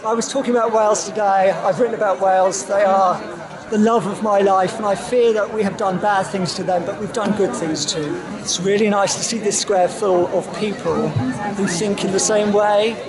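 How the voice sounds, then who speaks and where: A man's voice: sits high, steady tone, moderate pace. A man talking informally as a writer in a busy outdoor square, in short, heartfelt, repeated phrases. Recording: outdoors with crowd chatter.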